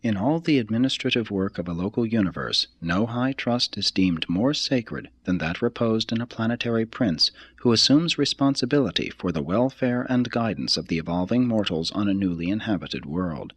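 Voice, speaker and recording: A man reads a religious text aloud as scripted narration, in a husky voice with a measured, formal and deliberate delivery. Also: on the low side, animated, no echo. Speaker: audiobook reader.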